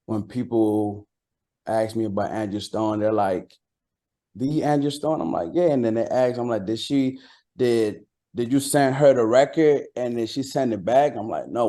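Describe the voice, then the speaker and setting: A man speaking as a rapper being interviewed, chatting casually in a slangy, halting way. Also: on the low side, warm tone. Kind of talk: casual chat.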